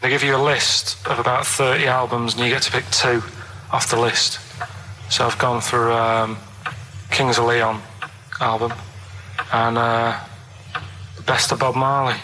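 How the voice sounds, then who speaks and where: Against clear background noise, a man with a Manchester accent talks at a middling pitch. He rambles plainly and colloquially, as in casual radio chat. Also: level tone, a little slow, pushed and bright, rough recording. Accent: Northern English, Manchester. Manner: plain-spoken, meandering, colloquial. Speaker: radio show co-host.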